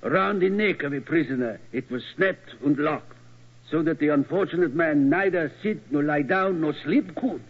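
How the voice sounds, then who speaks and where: An actor playing a polite German castle guide with a grim, deliberate, dramatic manner in an old radio play. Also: male voice, on the high side, breathy edge. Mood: grimly dramatic. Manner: deliberate, inverted foreign word order. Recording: dull old radio recording.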